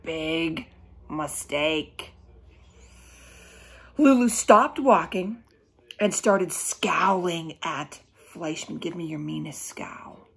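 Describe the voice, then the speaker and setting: A middle-aged woman reading a children's book aloud, animated and emphatic, breaking off to question her young listeners. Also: deep voice, very slow, creaky, long pauses, slightly rough. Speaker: storybook reader for children. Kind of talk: children's read-aloud with asides. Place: small room at home, indoors.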